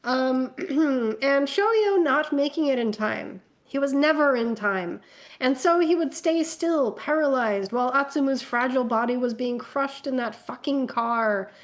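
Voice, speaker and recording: High-voiced woman reading fanfiction aloud. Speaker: reader and co-host of a fanfiction comedy show. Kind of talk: dramatic reading of fanfiction mixed with casual banter.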